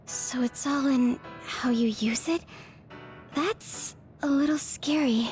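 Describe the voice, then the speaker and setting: Sweet young female teammate, video game cutscene, high-pitched, tentative, music underneath. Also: slow pace, a little mellow. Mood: concerned.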